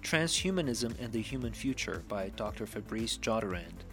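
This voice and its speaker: Guy reading a formal intro, lowish voice, music playing under him. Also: very animated, normal speed, fairly bright tone. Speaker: announcer. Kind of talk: scripted recording intro. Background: music bed.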